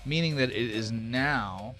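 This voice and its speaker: A man acting as game master, speaking at an unhurried, slow pace. His delivery is casual and a little sweary as he narrates the game, with faint music playing underneath. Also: mid-range voice, fairly bright tone. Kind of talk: narrating a tabletop roleplaying game.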